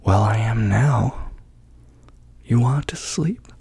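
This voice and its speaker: A man as a hushed, helpful little bird. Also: medium pitch, takes it slowly, creaky, breathy edge, no room sound, exaggerated voice. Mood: sleepy, coaxing. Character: woken little bird, helpful and easygoing, storybook. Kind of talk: soft-spoken ASMR storybook reading.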